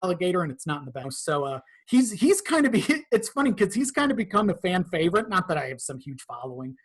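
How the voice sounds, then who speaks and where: Higher-voiced man chatting casually. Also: sing-song, fast talker, breathy edge. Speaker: podcast guest, audio drama creator. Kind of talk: casual chat.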